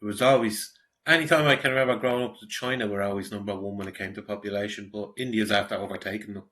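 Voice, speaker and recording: An Irish man speaks in casual commentary, blunt and conversational. His voice is low-pitched, with a bright, forceful edge to it. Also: fairly even intonation, fast talker. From Ireland.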